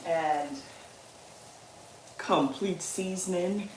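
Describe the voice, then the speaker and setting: A woman's voice: on the low side, extremely slow, a little mellow, reverberant, a little hiss or hum, poor audio. A woman, chatting casually with viewers as she cooks on a livestream, laughing easily. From Guyana. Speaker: livestream cooking host. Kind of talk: casual livestream cooking chat.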